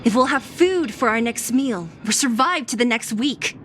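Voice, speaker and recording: A woman's voice: a little high, lively intonation, moderate pace, noisy background, natural voice. A woman playing a brash, tough young underworld woman in a game cutscene, blunt and sarcastic. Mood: irritated, mocking. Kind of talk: dramatic game cutscene voice acting.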